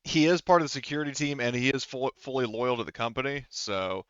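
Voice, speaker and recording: A man's voice: medium pitch, animated, brisk, fairly bright tone, clear, resonant tone, no room sound, muffled recording. A man acting as game master in a casual online tabletop roleplaying discussion, explaining things with frequent asides.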